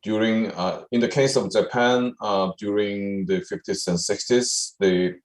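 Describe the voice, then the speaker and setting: Deep-voiced male session chair, hesitant, lots of fillers, echoey room. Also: steady tone, unhurried. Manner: hesitant, many uhs and restarts. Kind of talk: conference summary.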